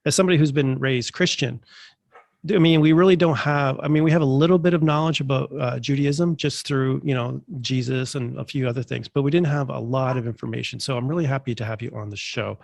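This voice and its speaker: Guy hosting his podcast, kinda stuffed up, excited and chatty, dry sound. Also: medium pitch, a little fast, creaky, no room sound. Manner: enthusiastic, chatty with many fillers. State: congested from allergies. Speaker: podcast host. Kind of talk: casual interview.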